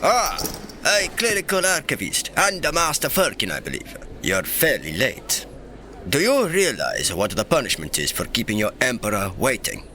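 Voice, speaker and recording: A man's voice: medium pitch, huge pitch swings, normal speed, sharp tone, slight background noise. A man playing a young, mischievous and irreverent emperor in a fantasy audio drama, with a theatrical, teasing, breezy delivery. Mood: mock imperious. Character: young playful irreverent male emperor, secretly informal and mischievous.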